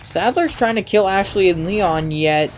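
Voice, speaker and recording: A man's voice: high-pitched, measured pace, slight background noise. A man giving nitpicking commentary on video game cutscenes in a questioning, argumentative way.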